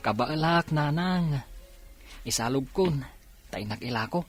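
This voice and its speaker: An actor playing a dutiful, hardworking village son and older brother in a radio drama, speaking earnestly and respectfully. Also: male voice, a little high, expressive, unhurried, soft background music.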